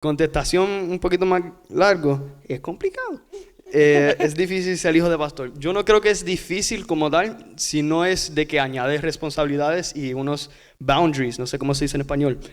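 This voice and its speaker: Higher-pitched Puerto Rican male guest. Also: steady tone, moderate pace. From Puerto Rico. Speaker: podcast guest, pastor's son. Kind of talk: casual explanatory chat.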